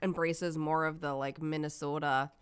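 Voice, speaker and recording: A woman's voice: deep voice, steady tone, normal speed, clean tone, no room sound, clean studio sound. A woman podcast co-host with a Detroit accent, talking casually in a blunt, teasing, profane way. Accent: Detroit, Michigan.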